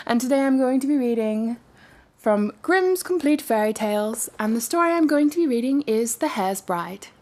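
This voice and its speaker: A woman hosts a casual storytelling channel with a fairly high voice. Her manner is playful and giggly, and she talks informally to her viewers. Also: animated, normal speed, a little mellow. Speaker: host of a story-reading channel.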